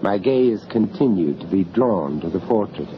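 Male narrator-lead for radio horror: cultured, uneasy foreign art dealer, hesitant, very lively intonation, muffled vintage sound. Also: sits low, normal speed. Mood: uneasy, reflective. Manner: hesitant, reflective, with chuckles in dialogue. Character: urbane, uneasy foreign art gallery owner in London, recounting horror. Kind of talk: first-person radio drama narration. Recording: muffled, noisy old radio drama recording.

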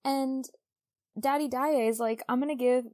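A woman's voice: high voice, steady tone, normal speed, mellow. A woman co-hosting a casual book podcast, talking in a chatty, gushing way full of conversational asides. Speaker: podcast co-host.

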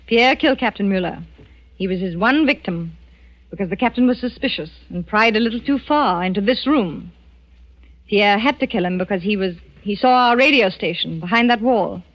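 An actress playing a cool, quietly vengeful French resistance woman in a 1940s radio drama, poised and deliberate. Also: medium pitch, expressive, lightly acted. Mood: confiding, composed. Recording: dull 1940s radio broadcast recording.

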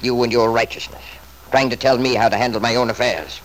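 A man's voice: mid-range voice, fairly even intonation, fairly quick, close to an everyday voice. An actor playing a stubborn, selfish middle-aged father in an old-time radio soap, blustering and self-justifying in an emphatic way. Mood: indignant, defensive. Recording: noisy vintage radio drama recording.

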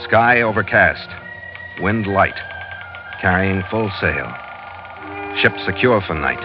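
American sea captain, vintage radio narration. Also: male voice, sits low, animated, takes it slowly. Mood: matter-of-fact. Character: steady, wry American ship's captain telling his sea adventures. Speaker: lead actor and narrator. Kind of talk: 1940s radio drama narration, scripted. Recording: dull old radio recording, music behind.

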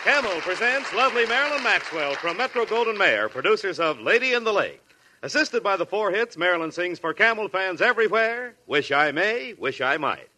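A man's voice: sits high, big pitch swings, a little fast, crowd noise. A man announcing in a showy, polished 1940s radio style. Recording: noisy vintage radio, audience sound. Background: studio audience.

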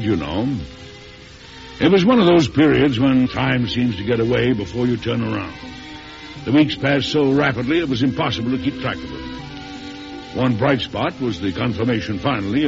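An actor playing a proud, stubborn older American president in a folksy, emphatic old radio drama style. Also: male voice, medium pitch, lightly acted. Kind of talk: 1940s radio drama acting, narrating and playing. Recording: hissy, dull old radio recording.

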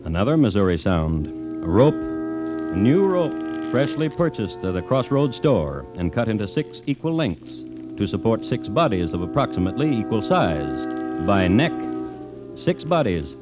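An actor playing a dry, macabre true-crime host, narrating deliberately with wry pauses in an American accent on old-time radio. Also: male voice, lowish voice, sing-song, over loud music. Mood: wry, grave. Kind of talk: 1950s radio scripted narration.

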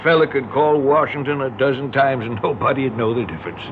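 A man's voice: mid-range voice, brisk, close to an everyday voice. An actor playing a folksy, menacing rural kidnapper and father with a Southern American drawl in a radio drama. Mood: sly, amused. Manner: drawling, folksy, colloquial talk. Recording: dull lo-fi radio recording, hissy background noise.

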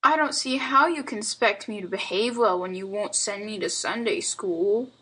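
A woman's voice: mid-range voice, moderate pace, pushed and bright, breathy edge, poor audio. A woman voicing a mischievous, earnest little boy in a storybook, with childish slang and plaintive reasoning. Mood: earnest, defiant. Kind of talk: storybook character dialogue.

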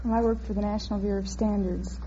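A woman's voice: mid-range voice, fairly even intonation, fast, mellow. Young American woman on noisy old radio. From Washington, D.C. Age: 20s. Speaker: quiz show contestant. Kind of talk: brief good-humored answers to a host. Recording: noisy muffled 1950s radio recording.

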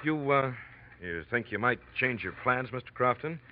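An actor playing a nosy, affable American ticket clerk in an old radio drama, talking in a folksy, halting, chatty way. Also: male voice, medium pitch, animated, a little hiss or hum, lightly acted. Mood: helpful, talkative. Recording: dull vintage radio recording.

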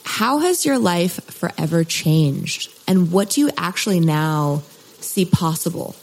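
Woman, podcast host, enthusiastic, casual interview, gravelly, slightly low voice. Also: animated, a little slow, poor audio.

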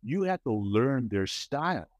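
A man co-hosting a casual podcast chat, talking in an animated, expressive way with a big voice, often checking in with "right?". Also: medium pitch, measured pace. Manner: many restarts and repeated "right?". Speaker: podcast co-host.

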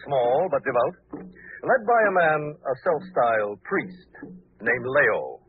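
An actor playing a prickly, pompous gentleman writer in a 1940s radio drama, formal, emphatic and theatrical. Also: mid-range voice, sing-song, takes it slowly. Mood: courteous, solemn. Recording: tinny old radio broadcast, faint noise.

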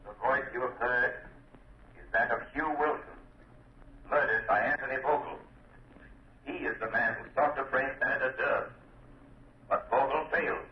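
A man acts out a grave crime-fighting sleuth in a 1930s radio play. He speaks in an ordinary male pitch, earnest and commanding, through a dulled recording with a faint layer of hiss. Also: moderate pace, pauses often, dull audio, lightly acted. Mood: stern. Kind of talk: 1930s radio drama acting.

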